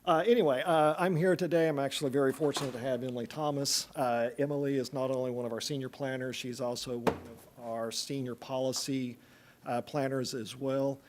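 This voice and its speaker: A man's voice: medium pitch, steady tone, a little fast, a little hiss or hum. A man with an East Tennessee accent, a planning consultant giving a chatty, joking update at a public meeting, with many fillers.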